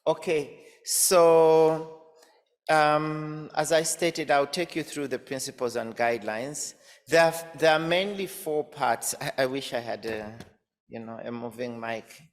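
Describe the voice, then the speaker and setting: A man's voice: on the high side, steady tone, measured pace. A man from Zambia presenting as an academic, hesitant with many fillers and repeated words. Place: conference hall. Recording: reverberant conference hall, off-mic at times.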